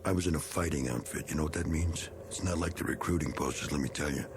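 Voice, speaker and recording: An actor playing a needling, bitter middle-aged American war veteran with folksy, slangy talk in a vintage TV drama. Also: male voice, a bass voice, fast, background noise. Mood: menacing. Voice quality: gravelly. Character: needling, bitter male war veteran, rough working man. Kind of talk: 1960s television drama acting.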